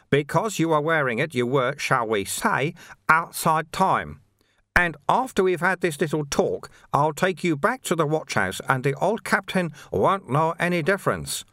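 A male narrator acts out a placid, talkative monk from a storybook. His voice sits on the high side and swoops gently as he soothes and teases. The sound is close and dry. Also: dry recording. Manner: casual, soothing, lightly teasing explanations. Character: calm, chatty, placating monk guide, older man. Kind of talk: audiobook character dialogue.

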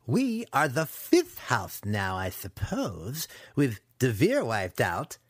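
Male narrator as young dark elf, sarcastic, cruel, sneering, higher-pitched, dry close sound. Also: very animated, unhurried, no room sound. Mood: smug sarcasm. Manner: sneering, mocking. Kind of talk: fantasy audiobook character voice.

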